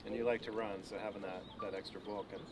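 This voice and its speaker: A man working as a reporter, asking friendly, brisk questions. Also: fairly low pitch, little pitch movement.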